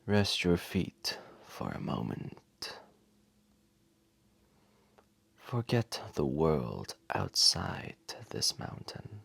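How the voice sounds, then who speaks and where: Ancient, very deep, gravelly dragon. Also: male voice, huge pitch swings, a bit bright, dry recording, exaggerated voice. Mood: soothing, solemn. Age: old. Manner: grandiose, unhurried, dramatic pauses. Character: ancient male dragon, weary, wise, gently imposing. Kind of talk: slow intimate storytelling audio roleplay.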